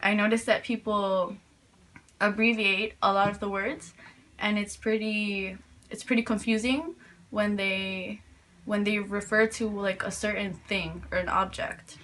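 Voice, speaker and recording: A woman's voice: mid-range voice, steady tone, a little slow, fairly bright tone, poor audio. A teenage girl from New York answering interview questions casually, hesitant, with many fillers and restarts. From New York, USA. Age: teens.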